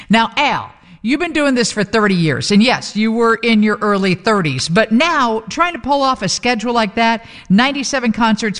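A woman hosting a radio show, interviewing a guest in an upbeat, conversational way. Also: fairly high pitch. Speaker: radio host.

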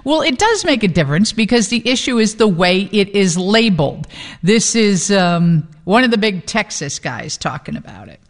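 A woman's voice: mid-range voice, big pitch swings, in a large hall. Chatty woman radio host. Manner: chatty, frank, quick to laugh. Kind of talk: casual radio talk.

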